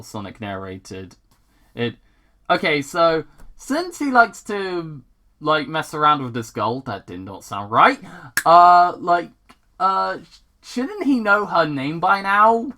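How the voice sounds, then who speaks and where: A casual host reads fan fiction aloud and comments on it. The delivery is slow and halting, with repeated words. The voice is bright, with pitch that moves around a lot. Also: very animated. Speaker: gaming channel host reading fan fiction.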